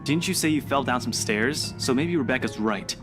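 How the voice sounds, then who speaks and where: A man's voice: mid-range voice, lively intonation, moderate pace, close to an everyday voice. An actor playing a skeptical, mocking young man in a visual novel, blunt and dismissive in manner. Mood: scornful. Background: game music.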